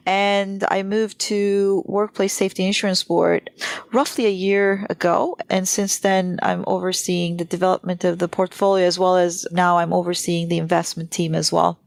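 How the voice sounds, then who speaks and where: Woman from turkey on a finance podcast, lowish steady voice with some vocal fry, lots of little uh pauses. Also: dry recording. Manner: explains her career step by step with frequent "uh" pauses. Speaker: pension fund investment director, guest. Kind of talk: podcast interview.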